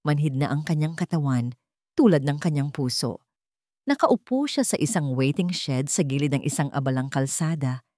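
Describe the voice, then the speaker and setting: A woman with a Filipino accent reading a scripted dramatic story in a measured, storytelling manner. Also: low-pitched, a little mellow, dry recording, clean studio sound. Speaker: storyteller, narrator.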